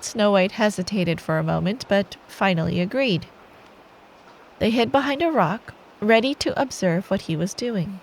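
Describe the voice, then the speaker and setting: A woman's voice: mid-range voice, moderate pace, a little hiss or hum, rough recording. A woman doing ASMR bedtime storytelling as a girlfriend, affectionate, soothing and playful. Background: rain ambience.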